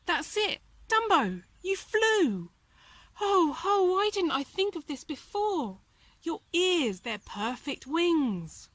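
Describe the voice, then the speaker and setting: A plucky little circus mouse, played by a woman reading a storybook, speaks in a high, excitable voice. He is an eager, loyal friend who exclaims and encourages. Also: slow pace, no room sound, heavily acted. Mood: excited.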